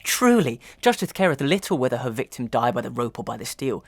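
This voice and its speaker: A man's voice: mid-range voice, big pitch swings, brisk, dry recording. A man playing an anguished, conscience-stricken friend in a Victorian melodrama, solemn and pleading in archaic diction. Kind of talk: Victorian melodrama audio acting.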